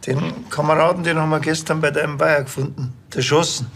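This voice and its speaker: Creaky, wry detective. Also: male voice, a little high, fairly even intonation, quick pace, fairly bright tone, slight background noise. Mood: dry. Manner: casual, dry, colloquial. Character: wry, provoking male police detective.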